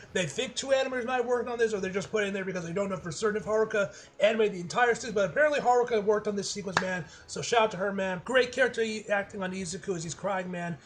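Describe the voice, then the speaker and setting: A man with a high voice talks quickly through an episode review. He sounds like an excitable fan, rambling casually in loose slang with plenty of swearing. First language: English. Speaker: anime reviewer.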